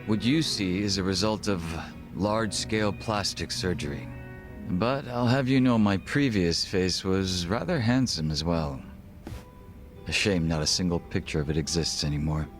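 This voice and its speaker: A male actor plays a suave gangster second-in-command with a deep voice. He is guarded and polite, with formal, measured phrasing and a dry wit, in a video game drama with music behind him. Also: close to an everyday voice. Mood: composed, wry. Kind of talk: English video game voice acting.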